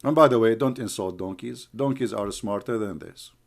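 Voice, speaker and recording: A man's voice: on the low side, moderate pace, gravelly. Scornful man. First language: Arabic. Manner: mocking, scornful, calls names. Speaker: online commentator. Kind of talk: heated argumentative commentary.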